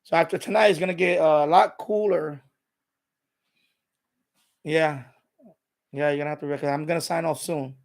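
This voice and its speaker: Higher-pitched man with a gravelly rasp, rambling. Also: fairly even intonation, moderate pace, long pauses. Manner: rambling, repetitive, explanatory. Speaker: live stream host. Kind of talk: casual unscripted live stream chat.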